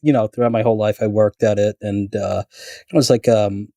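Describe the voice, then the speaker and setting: A man's voice: fairly low pitch, fairly even intonation, a little fast, gravelly, dark tone, no echo. A man born in Canada telling stories in a casual podcast chat, rambling with many fillers and restarts. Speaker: guest storyteller.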